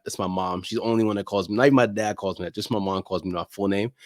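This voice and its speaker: A man's voice: lowish voice, no room sound, clean studio sound. A man speaking as a podcast guest, telling things casually and emphatically, repeating phrases and often checking in with "right?". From Nigerian heritage, spent childhood time in South London. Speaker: podcast guest, soccer media figure. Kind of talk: casual conversational storytelling.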